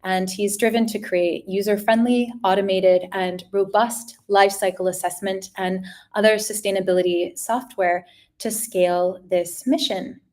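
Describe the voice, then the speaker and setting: A woman's voice: medium pitch, fairly even intonation, a little slow, darkish tone. A woman hosting a live webinar, giving upbeat, scripted introductions with the odd filler. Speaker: webinar host.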